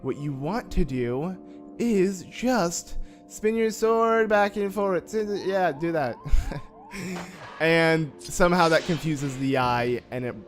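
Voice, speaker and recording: A young man chats his way through a video game with a slightly raspy, somewhat high voice, teasing and joking at his own expense. Soft game music plays faintly beneath him. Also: animated, slow. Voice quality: slightly raspy from just waking. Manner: joking, self-mocking, chatty with frequent fillers. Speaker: gameplay commentator. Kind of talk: casual let's-play commentary.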